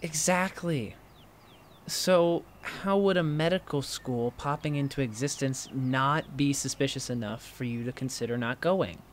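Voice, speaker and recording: An actor playing an inquisitive, teasing college student in a fiction podcast, with a prodding, excitable manner. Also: male voice, medium pitch, a little slow, a little hiss or hum, close to an everyday voice. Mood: incredulous. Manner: prodding, animated, incredulous.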